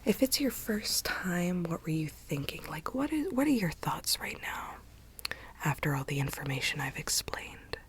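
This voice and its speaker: A woman whispering in a breathy, unvoiced voice, gently role-playing a consultant who explains things to a client in ASMR style. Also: on the low side, very animated, moderate pace.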